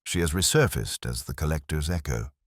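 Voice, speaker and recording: A man acts out a brilliant gentleman detective for a mystery audiobook. The voice is extremely low and grave, with deliberate, incisive phrasing and theatrical swings of intonation. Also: lively intonation, dry recording, studio quality. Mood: grave, brooding.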